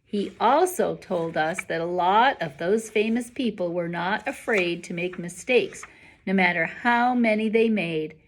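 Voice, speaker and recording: A woman reading a children's novel aloud in an expressive storybook narration style. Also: mid-range voice, measured pace.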